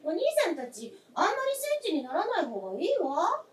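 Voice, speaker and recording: A woman's voice: very high voice, very animated, a little fast, a little hiss or hum. A woman playing a genteel, candid grown woman of the family in a stage radio drama, with polite old-fashioned feminine speech. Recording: roomy, distant stage recording.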